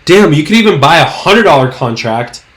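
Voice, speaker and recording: A young man with a hoarse, worn voice hosting a trading channel, talking through stock charts in a hyped, slangy, rambling way full of fillers. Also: a little high, very animated, normal speed, fairly bright tone. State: hoarse, losing his voice. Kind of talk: casual screen-share market commentary.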